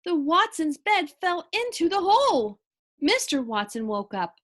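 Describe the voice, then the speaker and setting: A woman reading a children's story aloud with expressive narration. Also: extremely high pitch, unhurried.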